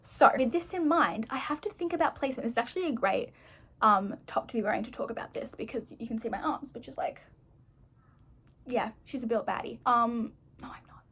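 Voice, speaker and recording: A woman's voice: a little high, a little fast, a bit bright, husky. A young woman turning twenty-one, chatting casually to camera while doing her makeup, rambling, self-mocking and full of "like"s. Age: young adult. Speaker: vlogger.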